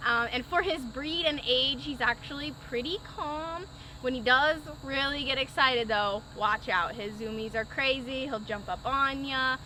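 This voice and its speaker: Female shelter worker, high-pitched, informal and unscripted, noisy outdoor recording. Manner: informal, talks to the dog in short commands and pet names. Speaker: animal shelter worker presenting an adoptable dog. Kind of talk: casual chat and unscripted pet-adoption presentation. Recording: outdoor noise, voice sometimes distant.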